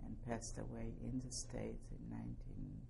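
A woman in her seventies from Poland, giving oral history testimony slowly and carefully, spelling out names. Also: a bass voice, big pitch swings, gravelly, background noise. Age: 70s. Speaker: interviewee.

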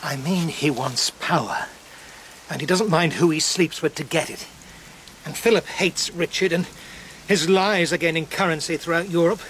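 An actor playing a loyal medieval knight and adviser in a historical audio drama, speaking with respectful, earnest candour. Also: male voice, a little high, normal speed, a bit bright, slight background noise, close to an everyday voice, poor audio. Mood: grave, candid. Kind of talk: historical radio drama acting.

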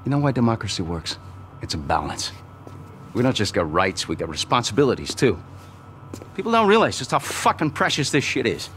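A gruff middle-aged American man in a video game, bitter and passionate. His pitch swings widely as he rants bluntly, with faint ambience behind. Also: sing-song, brisk, fairly bright tone, a little hiss or hum. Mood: indignant. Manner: blunt, profane, ranting. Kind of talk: video game cutscene acting.

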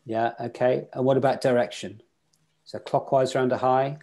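A man's voice: medium pitch, fairly even intonation, normal speed, a little mellow. A man teaching sailing weather in an online club lecture over a video call, quizzing his listeners as he goes. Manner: interactive, quizzes the audience.

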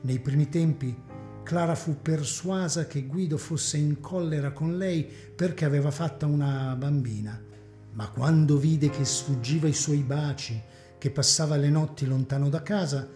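A man narrating a serial radio drama with an expressive, theatrical reading. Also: fairly high pitch. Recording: echoey community hall.

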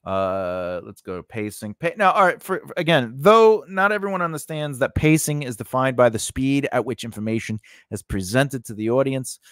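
A man's voice: mid-range voice, expressive, moderate pace, a bit bright, dry recording. A man in his forties giving an informal lesson in a conversational, rambling way, close on a studio microphone. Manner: conversational, rambling, punctuated with "you know" and "right?". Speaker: host of a screenwriting lesson. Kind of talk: informal instructional talk. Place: small indoor home studio. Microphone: large condenser microphone on a boom arm, close up.

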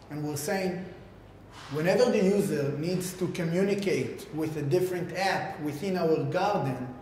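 Echoey, higher-pitched man presenting haltingly. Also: a little mellow. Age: 30s. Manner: halting, filler-heavy delivery with repeated words. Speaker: tech company founder presenting a product. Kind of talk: informal keynote explanation to camera. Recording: very echoey, reverberant room with faint rumble.

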